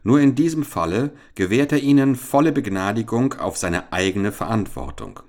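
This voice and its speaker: A man's voice: on the low side, animated, moderate pace, darkish tone, voice pushed up. A man reading aloud and voicing a stiff, formal army sergeant delivering demands in a storybook tale. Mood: stern. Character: stiff, officious adult male army sergeant envoy.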